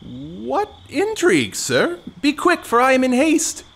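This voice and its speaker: A woman's voice: high voice, huge pitch swings, takes it slowly, slightly rough, exaggerated voice. Woman playing a cheeky, wildly swooping servant schemer. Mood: cheeky defiance. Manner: bustling, bossy, mock-grand delivery. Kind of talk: theatrical period farce in an audio play.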